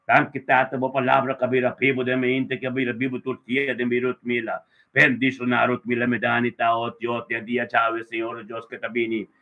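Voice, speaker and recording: Guy preacher praying in short repeated bursts, kinda high voice for a man, pretty even tone, sounds like a phone. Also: fairly quick. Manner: fervent, repetitive invocations in short emphatic bursts. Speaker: preacher leading a prayer. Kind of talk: extemporaneous devotional prayer of thanksgiving and blessing. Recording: tinny, phone-like band-limited sound.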